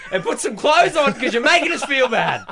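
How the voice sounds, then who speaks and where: Lively radio host telling stories. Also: male voice, extremely high pitch, brisk, gravelly. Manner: storytelling, animated and jokey. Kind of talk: casual radio banter.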